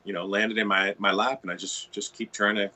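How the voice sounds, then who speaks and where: A man's voice: on the low side, flat delivery, brisk, sharp tone. A middle-aged Canadian man from Ontario, chatting as a guitar tech guest in a casual interview, rambling with many restarts and "you know"s.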